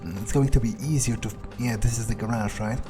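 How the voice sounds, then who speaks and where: A man's voice: medium pitch, fairly even intonation, a little fast, music in the background. A man playing a video game fully in character, talking in a dry, blunt, laconic way with some cursing. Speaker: gamer roleplaying his character. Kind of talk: in-character game roleplay commentary.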